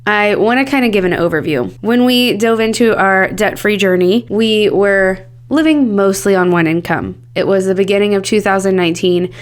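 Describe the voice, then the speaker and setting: Thirties woman doing her solo podcast, scratchy sick voice, honest and chatty. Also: mid-range voice. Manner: earnest, candid and upbeat. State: scratchy voice from a cold. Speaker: podcast host.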